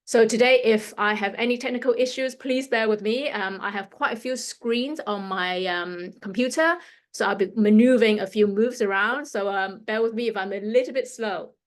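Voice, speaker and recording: A woman's voice: mid-range voice, normal speed. A woman in her fifties with a mixed Hong Kong and London accent, a fashion stylist leading a workshop in a loose, chatty way with many fillers. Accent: Hong Kong and London English. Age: 50s. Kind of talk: casual presentation to an audience.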